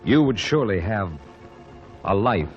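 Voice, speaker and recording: A man's voice: lowish voice, lively intonation, unhurried, highs cut off, faint music behind. A man announcing and narrating a 1949 radio drama in a solemn, emphatic style.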